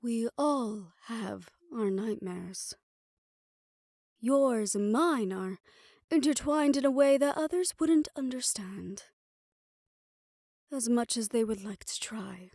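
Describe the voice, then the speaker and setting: Fantasy audio drama: actress as reformed yandere lady doctor. Soft, relaxed tone, formal phrasing, teasing yet caring, very dry sound. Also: fairly high pitch, takes it slowly, pauses often, dry recording. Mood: concerned, probing. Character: reformed obsessive female doctor, teasing, probing, quietly caring. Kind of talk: fantasy audio roleplay acting.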